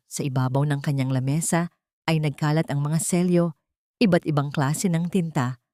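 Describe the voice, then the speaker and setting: A woman reading a scripted first-person story with deliberate, dramatic phrasing. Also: deep voice, mellow, dry recording, clean studio sound. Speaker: audio story narrator.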